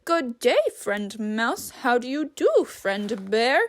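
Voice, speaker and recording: Woman reading as a high-voiced polite hare. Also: very animated, slow. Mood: friendly. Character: friendly, polite storybook hare.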